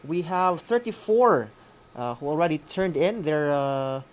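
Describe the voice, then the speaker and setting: A Filipino co-host of a radio school program giving announcements to students in a halting way, often ending phrases with a questioning "no?". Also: mid-range voice, lively intonation. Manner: halting, often adds "no?" as a tag. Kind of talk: semi-scripted announcements to students on air.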